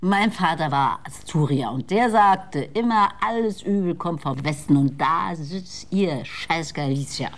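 A woman's voice: deep voice, lively intonation, measured pace, few pauses. An actress playing a bitter, drunken woman who curses scornfully in a radio drama. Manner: cursing, scornful, breaks into tears. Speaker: voice actor.

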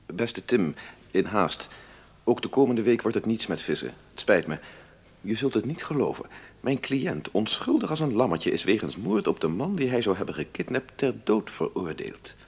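An actor playing an exasperated defence lawyer who confides in a friend by letter in a radio drama, with a gravelly, husky voice. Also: male voice, lowish voice, full character voice.

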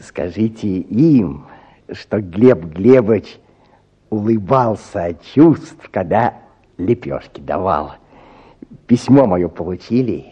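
An actor playing an officious, fussy village pharmacist with affected manners in a radio play. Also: male voice, fairly low pitch, animated, slow pace, husky, lo-fi sound, heavily acted. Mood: affected gallantry. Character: officious, fussy male village pharmacist, smarmily gallant to women.

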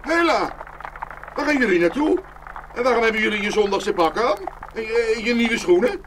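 A man's voice: very high voice, animated, normal speed, background noise. A man playing a naive, eager and cheerful youngest brother in a fairy-tale audio play. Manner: eager, guileless.

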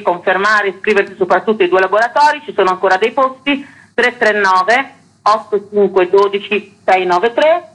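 A woman's voice: medium pitch, a bit bright, low-quality recording. A woman festival director giving interview answers and running through a programme. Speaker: festival artistic director, guest.

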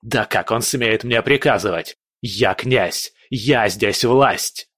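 A cowardly, hot-tempered prince bellows and rants in a loud, raised voice, as a male narrator puts him on. Under the shouting the voice stays fairly low. The recording is close and dry. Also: takes it slowly, dry recording. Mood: furious. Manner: shouting, ranting, furious.

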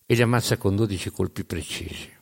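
A man narrating history as dramatic storytelling, in emphatic, measured phrases with pauses. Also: on the low side, vocal fry. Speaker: narrator of a storytelling stage show. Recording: long hall reverb.

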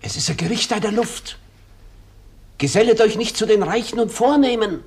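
An actor playing a pious old weaver, a fervent zealot who declaims scripture in a German radio play. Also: male voice, sits high, lively intonation, normal speed, husky, exaggerated voice. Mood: prophetic. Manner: preaching, declamatory, chanting scripture.

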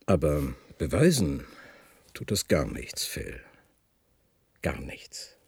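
A man acting an unsettled, condescending professor in a German radio drama, speaking haltingly and deliberately with a husky voice. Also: rumbling low, very animated, darkish tone, no room sound, full character voice. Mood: measured, probing. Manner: halting, deliberate, stammers when agitated. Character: unsettled, condescending professor of physics, slipping into confusion. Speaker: radio play actor.

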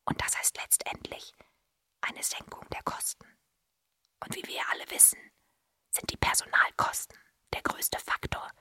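A woman playing an insinuating assembly-line writer in a stage drama, whispering breathily and explaining in a deliberate, matter-of-fact way. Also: sharp tone, dry recording. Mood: matter-of-fact, confiding. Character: insinuating female assembly-line script writer, unmasking the system. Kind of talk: whispered stage monologue in German.

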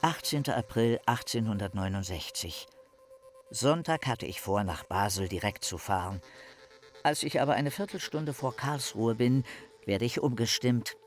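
A man's voice: medium pitch, lively intonation, normal speed, faint music behind, lightly acted. An actor playing a formal, touchy young male scholar in a German radio play, with precise literary diction. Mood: composed. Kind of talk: German radio play, letter and diary reading.

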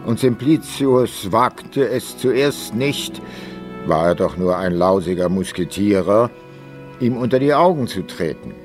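Wry storyteller narrating a radio play, lowish voice, music around. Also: male voice, animated, a little slow. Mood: wry, measured. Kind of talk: scripted radio drama narration.

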